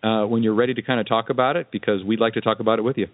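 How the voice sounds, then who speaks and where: A man's voice: lowish voice, steady tone, racing, no echo. A man co-hosting a podcast in casual, joking conversation. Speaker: podcast co-host. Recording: dry, phone-like sound.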